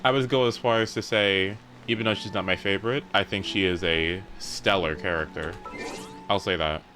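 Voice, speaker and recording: A man's voice: low voice, steady tone, normal speed, sharp tone, faint music behind. A young adult man chatting casually on a game livestream, rambling informally and swearing freely. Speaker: live streamer. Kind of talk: casual livestream chat while playing a game.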